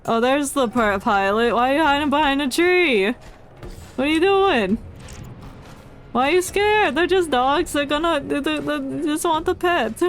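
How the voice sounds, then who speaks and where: Female game streamer: congested, unhurried delivery, playful mock indignation, faint music behind. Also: sits high. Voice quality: congested, stuffy nose. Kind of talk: casual live game commentary. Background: game audio and music.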